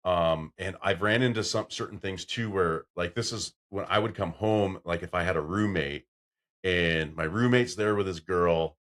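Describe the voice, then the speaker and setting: This is a middle-aged man with a deep, booming low voice that fills the room. He hosts a casual podcast, rambling through stories and acting out what people said, and his volume climbs whenever he gets excited. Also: normal speed. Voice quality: deep voice that carries. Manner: loud when excited, storytelling with acted-out quotes. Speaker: podcast host.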